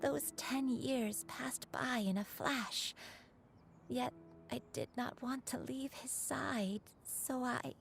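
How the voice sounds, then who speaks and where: Wistful crane spirit in human form. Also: female voice, high voice, vocal fry, faint music behind, exaggerated voice. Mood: tender, regretful. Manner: measured, wistful, confessional. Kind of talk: scripted video game voice acting, storytelling monologue.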